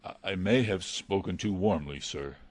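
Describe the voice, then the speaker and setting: A deep-toned butler played by a man speaks with anxious respect and formal hesitation. He sounds loyal and pleading, as in a classic mystery story, recorded very dry. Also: normal speed, no echo. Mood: hurt, apologetic. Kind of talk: dramatised reading of a classic novel.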